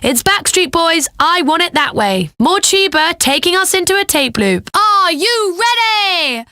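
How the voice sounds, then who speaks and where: A woman's voice: very high-pitched, sing-song, unhurried, bright, forceful tone, no room sound, studio quality, exaggerated voice. A woman playing a flirty, upbeat pop radio DJ in a video game, with slick, playful, teasing song introductions.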